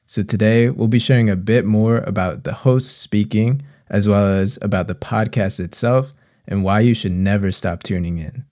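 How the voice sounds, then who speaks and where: A man's voice: fairly low pitch, normal speed, warm tone, no echo, studio quality. A man co-hosting a podcast, introducing it in a conversational way. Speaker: podcast co-host.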